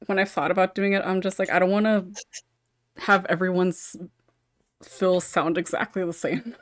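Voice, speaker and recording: Slightly low-voiced woman, casual panel chat. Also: fairly even intonation, normal speed. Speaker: podcast panelist, script writer.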